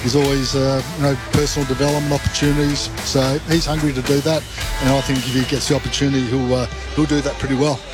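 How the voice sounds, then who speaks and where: A man, a football club manager, speaking on a radio interview in a measured, hesitant way. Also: medium pitch, little pitch movement, music in the background. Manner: measured, hesitant, many fillers.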